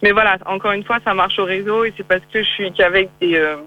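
A woman's voice: medium pitch, steady tone, fast talker. Young French woman over a tinny line. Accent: slight English-tinged French. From France. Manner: hesitant, many fillers and restarts. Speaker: interviewee, freelance graphic designer. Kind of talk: casual interview over a call. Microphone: phone or call line.

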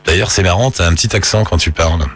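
A man's voice: low voice, fast talker, fairly bright tone, slightly rough, soft background music. A man interviewing a guest in a chatty, exclamatory way, often leading with his own opinions. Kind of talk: casual interview.

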